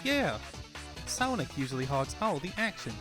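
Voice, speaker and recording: A man's voice: a little high, big pitch swings, normal speed, music in the background. A man doing a sassy Southern rabbit gal. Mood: playful. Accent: American South. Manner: folksy, drawling. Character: sassy, folksy young Southern rabbit woman, comic-book heroine. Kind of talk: cartoon-style comic fandub.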